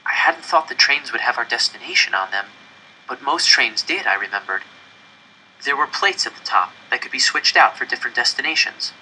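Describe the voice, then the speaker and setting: A man reading a story aloud in the first person, expressive and measured with dramatic pauses. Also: medium pitch, slight background noise, low-quality recording. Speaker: audiobook reader.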